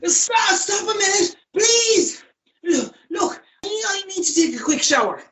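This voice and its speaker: Woman doing an irish bloke in a radio play, tired and dodgy, stammers, lively high pitch, bit far from the mic. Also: unhurried, heavy background noise, heavily acted. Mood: flustered, evasive. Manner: hesitant, stammering. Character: weary, evasive, grubby man fending off his lover.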